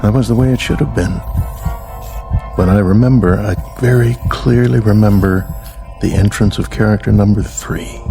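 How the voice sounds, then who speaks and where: Stoic, low-voiced American astronaut commander. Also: male voice, fairly even intonation, creaky, warm tone. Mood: somber reminiscence. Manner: understated, measured, wry. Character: stoic, dutiful male astronaut commander, wry and solitary. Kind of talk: radio drama acting and first-person narration. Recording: music behind the voice.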